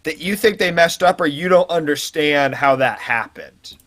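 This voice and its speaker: A man's voice: a little high, moderate pace, fairly bright tone, dry recording. A man co-hosting a comedy show in casual, improvised banter. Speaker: co-host of a comedy audition show.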